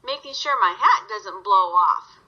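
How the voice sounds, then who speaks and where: A woman's voice: medium pitch, lively intonation, unhurried, highs cut off. A woman voicing a friendly, stubborn little hedgehog in a children's story. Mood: defiant.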